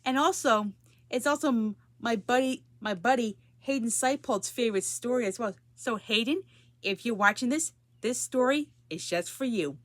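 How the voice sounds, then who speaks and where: Casual woman, slightly high voice. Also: normal speed, dry recording. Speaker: host of a story time series. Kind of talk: casual introduction to viewers.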